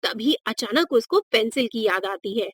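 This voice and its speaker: Slow, high-pitched woman storyteller. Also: animated, breathy edge, no echo, studio quality. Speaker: narrator. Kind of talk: scripted children's moral-story narration.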